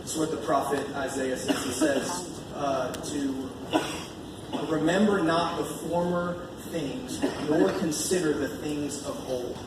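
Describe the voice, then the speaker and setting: A man's voice: fairly high pitch, slow pace. An American man preaching an informal, conversational sermon, earnest and repeating key phrases for emphasis as he reads and explains scripture. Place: large echoey indoor church meeting space. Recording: echoey room, distant mic, background noise.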